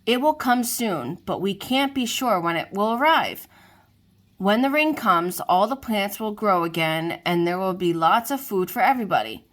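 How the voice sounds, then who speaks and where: A woman's voice: medium pitch, animated, moderate pace, fairly bright tone, natural voice. A woman doing Leo, a wise polite lion. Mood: thoughtful. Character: wise polite male lion, a bit grumpy.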